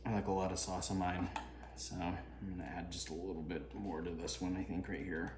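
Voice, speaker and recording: A man's voice: low-pitched, level tone, brisk, roomy sound, background noise. A man chatting casually as he cooks in his home kitchen, laid-back and swearing now and then. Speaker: home cook vlogger. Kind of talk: casual cooking narration.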